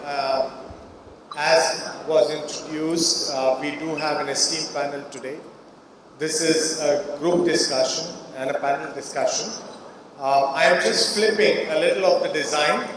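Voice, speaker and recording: A man's voice: a little high, steady tone, a little slow. A man moderating a conference panel, opening with hesitant remarks full of "uh" fillers. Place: large conference hall. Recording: distant, very echoey hall with faint noise.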